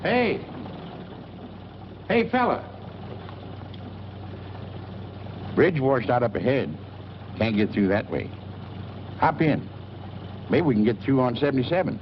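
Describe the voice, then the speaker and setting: A man's voice: medium pitch, big pitch swings, measured pace, long pauses. An actor playing a chatty small-town newspaperman in an old film, with an American accent and a folksy, rambling manner in clipped sentences. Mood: urgent, friendly. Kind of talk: 1940s film acting. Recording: dull old film soundtrack, faint noise. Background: car engine.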